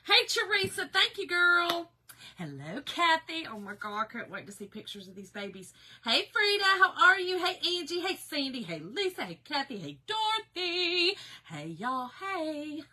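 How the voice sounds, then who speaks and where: A middle-aged woman, a little hoarse, hosting a casual craft livestream and greeting viewers by name. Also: extremely high pitch, sing-song, moderate pace, bright, forceful tone. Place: home office.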